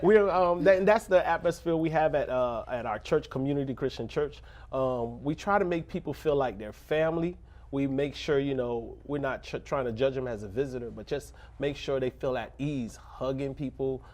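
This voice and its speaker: A man who grew up in a rough neighborhood, speaking as a pastor in a casual interview, earnest and preacherly, breaking into laughs and jokes. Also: mid-range voice, moderate pace, darkish tone. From Little Haiti, Miami.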